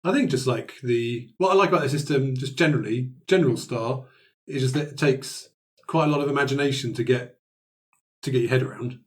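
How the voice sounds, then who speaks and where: A man's voice: medium pitch, a little fast. A man who plays tabletop games, chatting informally in a post-game discussion. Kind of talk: casual post-game chat.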